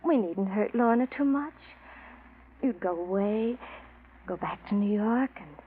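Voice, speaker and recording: A woman's voice: medium pitch, expressive, unhurried, a little hiss or hum, natural voice. An actress playing a scheming, passionate woman with a theatrical, imperious manner in a 1940s radio drama. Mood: ardent. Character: scheming, passionate woman in love with her cousin's fiance. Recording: dull vintage radio recording.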